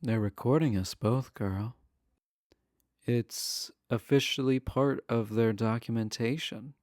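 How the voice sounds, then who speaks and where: A man's voice: medium pitch, slow, a little mellow, no echo, studio quality, lightly acted. A man playing a charming, sly villain prisoner in an intimate roleplay audio, talking in a coy, flirtatious, casual way. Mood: sly, playful.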